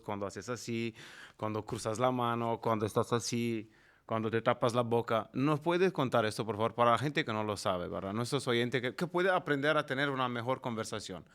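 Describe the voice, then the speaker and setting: A man co-hosting a podcast, politely asking long, drawn-out questions of the guest. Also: mid-range voice, fairly bright tone, clear, resonant tone. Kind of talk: interview questions.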